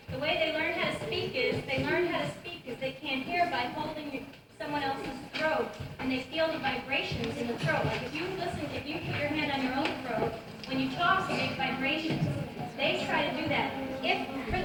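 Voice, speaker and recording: Patient woman explaining to kids. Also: high-pitched. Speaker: puppeteer answering children's questions. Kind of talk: explaining to a school audience. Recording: echoey room from far off, noisy.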